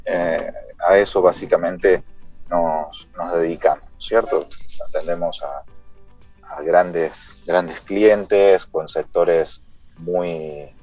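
A man giving explanatory interview answers as a guest, haltingly, over a dull connection. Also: low-pitched, steady tone, a little mellow, dry recording, soft background music. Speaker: interview guest, company CEO.

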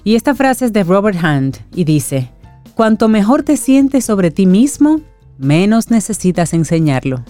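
A woman hosting a radio show, her voice sitting in a middle register. She speaks in a playful, chatty way and slows down to explain things carefully. Light music plays faintly underneath. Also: medium pitch, sing-song, dark tone, dry recording. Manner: playful, explains slowly and deliberately. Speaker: radio co-host. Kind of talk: lively radio chat.

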